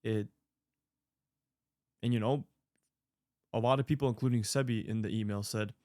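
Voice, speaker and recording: A man's voice: lowish voice, fairly even intonation, moderate pace, dark tone, dry recording, studio quality. A man in his late twenties giving casual, earnest advice to viewers, rambling with fillers and restarts. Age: 20s. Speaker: online creator answering viewer mail.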